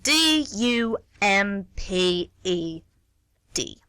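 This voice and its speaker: A woman's voice: medium pitch, sing-song, very slow, sharp tone, dry recording, full character voice. A woman acting a sardonic, meddling older woman in a radio play, with a dry, blunt, wry delivery. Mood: mocking. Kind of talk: stage-play dialogue read as radio theatre.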